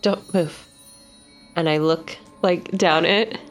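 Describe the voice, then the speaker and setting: A woman's voice: fairly low pitch, steady tone, takes it slowly, faint music behind. A woman playing a tabletop roleplaying game, speaking in casual in-character banter.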